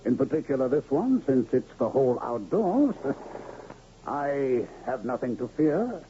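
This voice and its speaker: A man's voice: mid-range voice, sing-song, slow, dark tone. A male actor playing a grandiose, genial middle-aged travelling medicine man in a 1970s radio drama, florid and theatrical. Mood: grandly genial. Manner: florid, theatrical, wordy showman with chuckles. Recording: muffled, noisy old radio broadcast.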